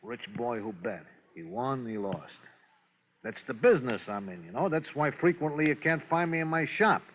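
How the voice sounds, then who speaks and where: A man's voice: mid-range voice, lively intonation, normal speed, close to an everyday voice. A man playing a slippery, wisecracking gambling racketeer in an old radio crime drama, offhand and evasive. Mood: irritated, flippant. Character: slippery, flippant gambling racketeer with a shop front. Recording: dull, noisy vintage radio recording.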